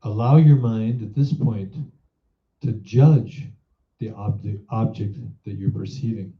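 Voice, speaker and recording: A man guides a meditation at a very slow, measured pace, with an average-pitched voice. He teaches by putting reflective questions to the listener. The recording is dull, with a clear roomy echo.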